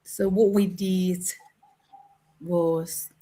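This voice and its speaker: A woman's voice: fairly low pitch, little pitch movement, extremely slow, dark tone. A woman from Indonesia, a preschool teacher and English learner, chatting on a video call in a thoughtful way and laughing easily. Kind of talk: casual video call chat. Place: home in the Netherlands.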